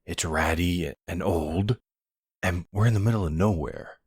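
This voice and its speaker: A man playing a nervous, affectionate boyfriend in an intimate audio roleplay, hesitant and gently teasing. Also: deep voice, unhurried, slightly rough, no room sound, studio quality.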